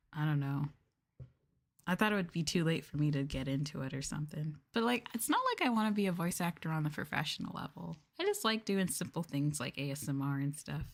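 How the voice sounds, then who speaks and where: A woman streamer chatting casually on an ASMR livestream, playful, giggly and a bit hesitant, close to the mic. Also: low voice. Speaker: VTuber streamer.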